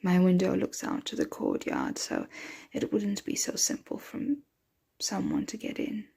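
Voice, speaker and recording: A woman voicing a possessive, protective, motherly vampire in an intimate ASMR roleplay, breathy and often dropping into a whisper. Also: fairly low pitch, wildly swooping pitch, warm tone, heavily acted. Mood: gentle, confiding. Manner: slow, intimate, soothing address to a dear one.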